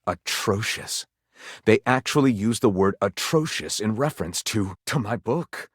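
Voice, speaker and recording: A man's voice: on the low side, expressive, unhurried, breathy edge, no room sound, studio quality. A man voicing a theatrical, bookish living-library character in a video game, effusive and florid in diction. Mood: betrayed, bitter. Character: flamboyant, theatrical bookish living library, eager and easily wounded.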